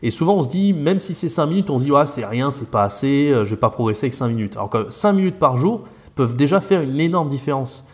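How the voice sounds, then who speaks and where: A man's voice: medium pitch, animated, fast, hardly any pauses, a little mellow. A French man, a language teacher, talking casually as a podcast guest, rambling with many fillers and restarts.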